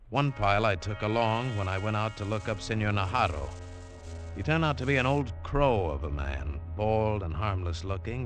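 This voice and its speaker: A man's voice: fairly low pitch, close to an everyday voice. An actor playing a tough, wary adventurer who tells his story in clipped, world-weary 1940s radio drama style. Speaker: lead actor and first-person narrator. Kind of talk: 1940s radio adventure drama acting and hard-boiled narration. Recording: 1940s radio recording, music underneath.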